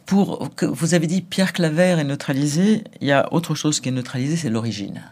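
A man speaking as an interview guest, emphatic and forceful, with short laughs between points. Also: fairly high pitch, a little fast. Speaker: guest, school figure.

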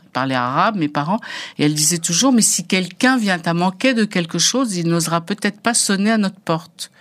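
A woman photographer from Algeria, a native Arabic speaker, recalling her past in an interview. She speaks slowly and hesitantly, pausing on fillers as she gathers her thoughts. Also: deep voice. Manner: slow, hesitant, many fillers. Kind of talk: reflective personal storytelling.

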